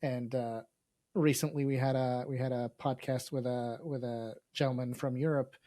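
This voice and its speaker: A man's voice: mid-range voice, fairly even intonation, normal speed, warm tone, no echo. A man from Lebanon whose first language is Arabic, hosting a casual podcast in a hesitant, wry way. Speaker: podcast host.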